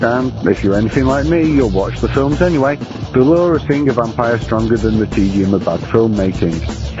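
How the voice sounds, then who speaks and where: A man's voice: mid-range voice, muffled recording. A man reading a scripted review as a film reviewer. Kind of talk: scripted review read aloud.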